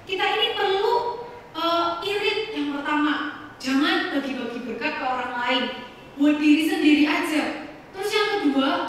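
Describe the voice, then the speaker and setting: A woman's voice: very high voice, pushed and bright. A woman preaching a church sermon, addressing her congregation in a conversational way. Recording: echoey hall, distant mic, faint noise.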